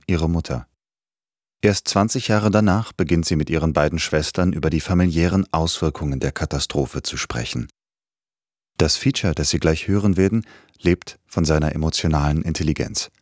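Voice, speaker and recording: A man with a very deep, low voice reads a scripted radio introduction in a measured, formal way. The recording is dry and close, with no room sound. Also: a little mellow, dry recording. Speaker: radio presenter.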